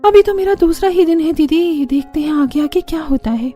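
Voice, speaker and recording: A woman's voice: high voice, moderate pace, mellow, faint music behind, pitched above the performer's own voice, lightly acted. A woman voicing an anxious, bewildered young daughter-in-law in a cartoon story, with a plaintive, exclaiming manner. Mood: bewildered, complaining. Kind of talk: Hindi cartoon story character acting.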